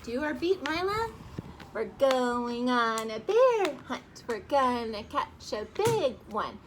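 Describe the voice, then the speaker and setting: A woman with a very high voice reads aloud to young children in an expressive, sing-song way. Her pace is slow and her delivery is highly animated, with dramatic gasps. She is recorded outdoors with some ambient noise behind her.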